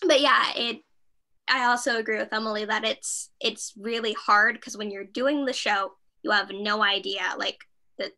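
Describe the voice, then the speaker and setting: A woman with a high, very bright voice talks casually as part of a panel. She is chatty and slips into quoting thoughts and reactions as she explains things. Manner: chatty, acts out thoughts and reactions in quoted speech. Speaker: stage actor.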